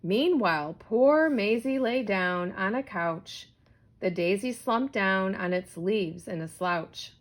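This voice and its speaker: A woman reading a rhyming children's story aloud in an animated, theatrical storytelling manner. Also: medium pitch, slow. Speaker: storybook reader.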